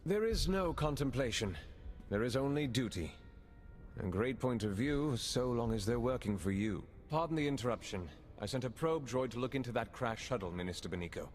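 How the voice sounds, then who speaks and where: An actor playing a dry, formal, clipped imperial agent in a game cutscene. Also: male voice, medium pitch, lively intonation, moderate pace, slight background noise, close to an everyday voice. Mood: businesslike. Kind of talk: dramatic game cutscene acting. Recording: long reverb.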